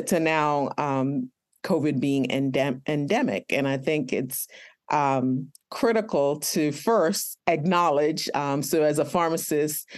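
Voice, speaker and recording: Measured woman pharmacist, frequent pauses. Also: deep voice, darkish tone. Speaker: pharmacist and educator. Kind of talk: podcast interview answers.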